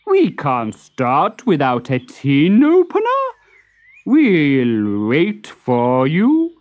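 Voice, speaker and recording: A woman voicing a resigned father tortoise who talks ponderously and deliberately in a children's storybook. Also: low voice, wildly swooping pitch, dry recording, phone-quality sound, heavily acted.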